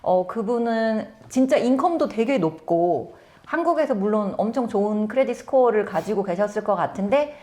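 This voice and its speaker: A woman real estate agent giving practical rental advice in a relaxed chat, drawing on client cases. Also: medium pitch, moderate pace. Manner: clear, practical, answers with client examples. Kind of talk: conversational advice talk.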